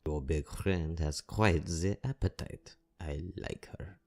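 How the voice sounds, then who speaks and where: Very deep French goblin chef. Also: male voice, slow, creaky, darkish tone, exaggerated voice. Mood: teasing. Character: playful male goblin chef with pointed fangs. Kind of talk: audiobook character acting.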